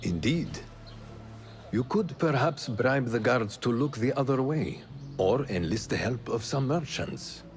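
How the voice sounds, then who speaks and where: Game cutscene mentor, stoic reflective man, mid-pitched, measured and formal, with wide expressive swings. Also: soft background music. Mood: practical. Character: solemn male mentor, reflective and stoic about old pain. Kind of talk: video game cutscene dialogue.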